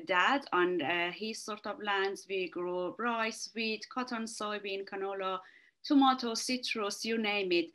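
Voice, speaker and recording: A woman from Iran with an Iranian accent, giving an academic talk over a video call, halting, with many fillers and restarts. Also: medium pitch, pushed and bright. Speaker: research fellow presenting a webinar talk. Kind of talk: online academic presentation.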